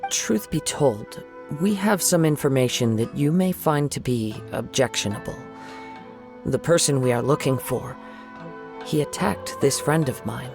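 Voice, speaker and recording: An actor playing a calm, modest young wandering samurai in a video game, composed and formal. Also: male voice, medium pitch, unhurried, vocal fry, music in the background. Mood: measured, serious.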